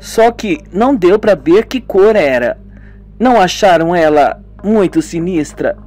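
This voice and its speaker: A man voicing an uneasy young woman describing something eerie, in a raised falsetto, for a homemade radio drama. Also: expressive, measured pace, a little mellow, faint music behind.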